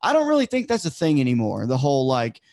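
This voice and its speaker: A man's voice: medium pitch, wildly swooping pitch, fast talker, a little mellow, dry recording, clean studio sound. A man in his twenties co-hosting a casual podcast, teasing and chatty, with lots of fillers. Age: 20s. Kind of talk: casual chat.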